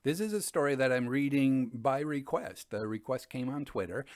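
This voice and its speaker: Man reading gothic fiction, widely swooping pitch. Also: lively intonation, darkish tone, no echo, studio quality. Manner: theatrical, deliberate phrasing. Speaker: storyteller reading stories by request.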